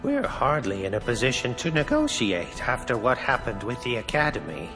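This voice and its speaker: A man's voice: medium pitch, big pitch swings, normal speed, natural voice. A man acting a wary, dutiful military advisor in a game cutscene, formal and cautioning. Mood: concerned. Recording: hall reverb, faint music.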